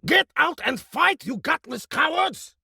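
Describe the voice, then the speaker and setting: A furious alien drill commander, very high and piercing. The voice is harsh and full of gravel, barking out orders slowly. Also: sing-song, bright, forceful tone, no echo, studio quality, full character voice.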